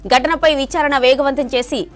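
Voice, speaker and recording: A woman's voice: sits high, steady tone, moderate pace. A woman news anchor, reading a scripted bulletin. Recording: music bed under the voice. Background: news music.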